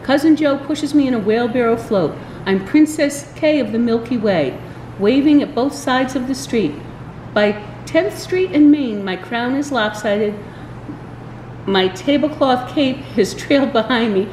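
Older lady doing story time, expressive n deliberate, roomy echo w a bit of background noise. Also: fairly high pitch. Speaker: library story time reader. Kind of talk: read-aloud children's storybook narration. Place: indoors in a large library room.

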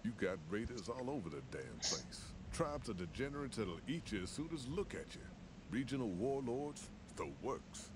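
An actor playing a gruff, plain-spoken middle-aged caravan master in a video game, with a deep drawl. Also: male voice, very animated, moderate pace, noisy background, lightly acted.

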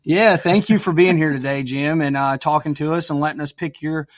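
A man's voice: a little high, a little fast. A young man hosting a podcast and casually interviewing a guest. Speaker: podcast host.

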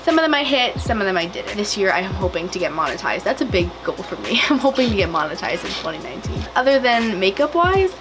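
A young woman in her twenties, a little hoarse and raspy from a cold, chatting casually and rambling through a makeup tutorial in a small home studio. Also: mid-range voice, rough recording. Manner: chatty, rambling, giggly asides. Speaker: makeup vlogger.

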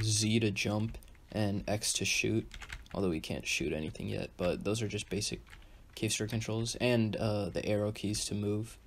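A man hosting a casual screen-recorded coding tutorial at his computer desk, relaxed and full of ums and restarts. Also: on the low side, slight background noise. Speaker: tutorial host.

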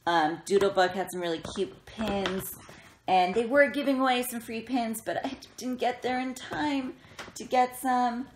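Chatty, excitable woman in a roomy bedroom. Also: on the high side, measured pace. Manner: self-deprecating, giggly, excitable, animated. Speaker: craft vlogger. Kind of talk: casual chatty haul vlog. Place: indoors in a bedroom, sitting up in bed. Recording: roomy echo, faint noise, handling clicks.

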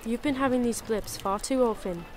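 A woman playing a warm, wry former investigator turned librarian in a full-cast audio drama. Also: on the high side, moderate pace, background noise, lightly acted. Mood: caring.

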